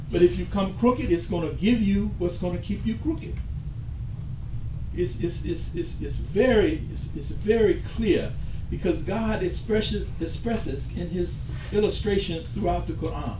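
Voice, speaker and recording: An older man giving a sermon in a small mosque, slow and deliberate, rising to emphatic calls. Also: high voice. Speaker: imam. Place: small mosque hall with bookshelves, indoors. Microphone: far camera at the back of the room. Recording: echoey room from far off with hiss.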